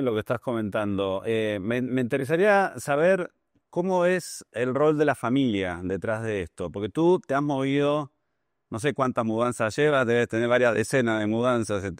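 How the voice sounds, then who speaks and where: A man's voice: mid-range voice, no room sound, studio quality. A man interviewing a guest on a podcast, conversational and polite, explaining and checking with short tag questions.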